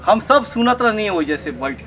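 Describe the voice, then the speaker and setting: Man playing a high-pitched, pleading young village lad. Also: big pitch swings, moderate pace, sharp tone, slight background noise, full character voice. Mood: boastful, indignant. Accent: Bhojpuri. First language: Bhojpuri. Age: young adult. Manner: wheedling, boastful, repetitive. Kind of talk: comedy skit dialogue.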